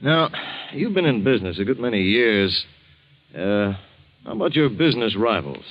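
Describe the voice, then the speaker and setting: A muffled old radio recording of an insistent police captain. His mid-pitched male voice swings up and down a lot as he probes with folksy authority in 1940s drama style. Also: big pitch swings, moderate pace. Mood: probing, insistent. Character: brisk, persistent male police captain questioning a victim. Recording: dull, band-limited vintage radio recording.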